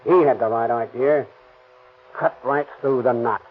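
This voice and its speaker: A man's voice: mid-range voice, steady tone, unhurried, lightly acted. An actor playing a ruthless, bossy car thief in a 1930s radio crime drama, with slangy tough-guy talk. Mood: menacing. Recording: tinny old radio recording, faint hiss.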